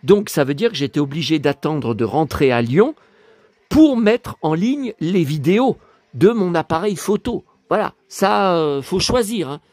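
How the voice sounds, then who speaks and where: A hoarse middle-aged man chatting in a casual vlog, rambling, repeating himself and stammering, with emotional asides. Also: fairly high pitch, sing-song, measured pace, a little mellow, no room sound. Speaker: YouTuber. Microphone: phone.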